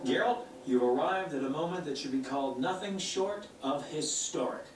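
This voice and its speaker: Guy doing a showy dramatic bard, a bit high, roomy and far away. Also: normal speed, a little hiss or hum, close to an everyday voice. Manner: flowery, dramatic. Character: theatrical chatty male bard. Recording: echoey distant game audio.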